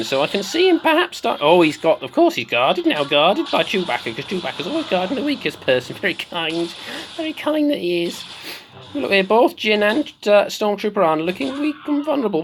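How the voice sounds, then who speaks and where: Someone putting on the voice of a slightly mad, excitable woman doing theatrical video game commentary, repeating phrases for effect. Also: mid-range voice, slight background noise, rough recording. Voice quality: put-on comic voice. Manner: theatrical, repeats phrases, swoops between squeals and murmurs.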